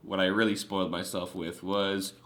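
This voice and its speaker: A man's voice: deep voice, little pitch movement, moderate pace, a bit bright. A young man chatting casually and eagerly in a vlog, showing off trading cards with lots of fillers. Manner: chatty and enthusiastic, many fillers.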